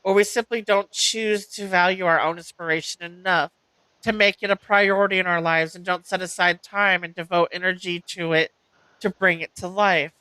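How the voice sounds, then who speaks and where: A woman reading oracle card meanings aloud as host, in a measured, deliberate way with an occasional stumble. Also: lowish voice, steady tone, bright, forceful tone, clean tone, no echo, low-quality recording.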